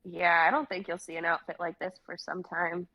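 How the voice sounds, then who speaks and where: A woman's voice: fairly low pitch, flat delivery, moderate pace, highs cut off. A young woman playing a bewildered, awkward twenty-three-year-old in a dramatic reading, speaking hesitantly in modern casual phrasing. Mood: defensive, startled. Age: young adult.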